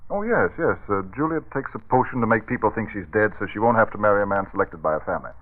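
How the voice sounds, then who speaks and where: An actor playing a genteel, trusting married gentleman in old-time radio drama style, polite and formal. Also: on the low side, lively intonation, fast talker, close to an everyday voice. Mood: curious. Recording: tinny, band-limited vintage radio recording.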